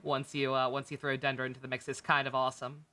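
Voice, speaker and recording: A man's voice: medium pitch, monotone, fast, sharp tone. A man giving casual, joking, rambling live commentary as a game streamer. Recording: clear game music behind the voice.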